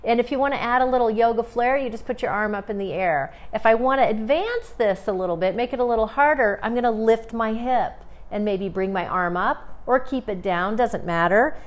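A woman in her forties, a movement therapist, calmly talking a viewer through breathing and core exercises in her home studio. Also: fairly high pitch, brisk, hardly any pauses. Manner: calm, instructive, guiding breath cues. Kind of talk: exercise demonstration.

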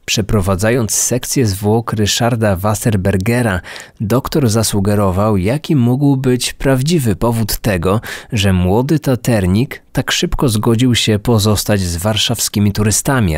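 A man's voice: on the low side, unhurried, a little mellow, no echo, clean studio sound. A man hosting a true-crime podcast in scripted narration. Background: music under the voice.

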